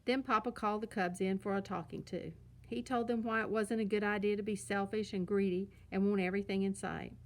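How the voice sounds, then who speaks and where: A woman's voice: mid-range voice, fairly even intonation, brisk. A woman narrating a children's storybook as a read-aloud storyteller.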